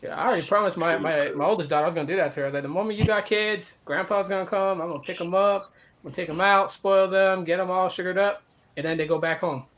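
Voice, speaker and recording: A man's voice: high voice, fairly even intonation, fast, fairly bright tone. A man hosting a live stream chat, talking bluntly and casually with lots of slang. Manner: blunt, slangy, says bro and dude a lot.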